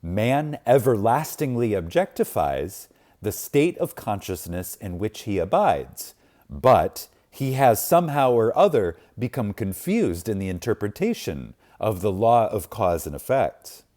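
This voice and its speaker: A man with a dark, relaxed voice gives a reverent reading of a spiritual text. His intonation swings widely for dramatic emphasis, and he moves slowly and solemnly, leaving deliberate pauses between phrases. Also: on the low side. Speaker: audiobook reader.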